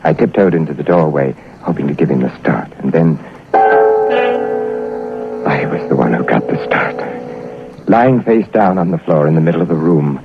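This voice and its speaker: A man acting a wary, sharp-witted British intelligence agent in a theatrical 1940s radio drama style. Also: rumbling low, lively intonation, moderate pace, creaky, pauses often, full character voice. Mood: grave, suspenseful. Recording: muffled old radio recording with music.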